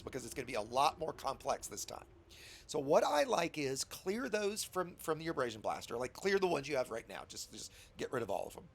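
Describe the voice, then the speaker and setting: A man with a scratchy voice from a cold, patiently talking a new player through game setup step by step in a casual tutorial. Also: mid-range voice. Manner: patient, chatty instructor addressing viewers.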